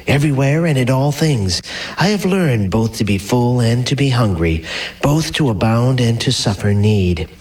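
A man playing a calm, earnest apostle in a radio drama, speaking in a measured way. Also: mid-range voice, recorded from a distance, close to an everyday voice.

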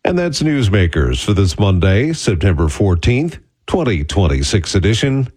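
A man's voice: on the low side, normal speed, husky. A man anchoring a radio news segment and reading the weather forecast. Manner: steady announcer delivery. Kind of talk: scripted news read.

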